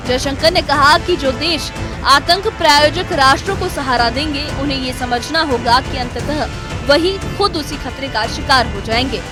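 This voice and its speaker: A woman reading a scripted news report as a reporter. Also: high voice. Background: background music bed.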